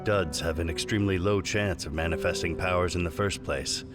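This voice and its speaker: A man's voice: sits low, steady tone, brisk, loud music behind the voice, close to an everyday voice. An actor playing a detached, condescending middle-aged male scientist in a video game, speaking in a clinical, matter-of-fact way. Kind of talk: scripted video game cutscene acting.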